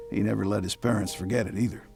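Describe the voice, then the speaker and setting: A man's voice: on the low side, fairly even intonation, gravelly, a little mellow, faint music behind. A man giving scripted, wry narration and hosting a radio drama. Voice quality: wry, measured. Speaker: narrator and host.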